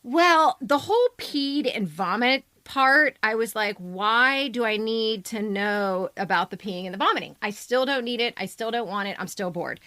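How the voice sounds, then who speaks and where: A woman's voice: mid-range voice, pushed and bright, dry recording. A woman co-hosting a podcast, giving blunt, teasing critique in casual conversation. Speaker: podcast co-host and editor giving commentary.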